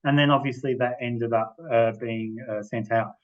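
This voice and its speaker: A man giving an informal explanatory presentation as a library manager, speaking haltingly and often rephrasing as he goes, over a video call. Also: mid-range voice, steady tone. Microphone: video-call microphone. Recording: muffled video-call audio.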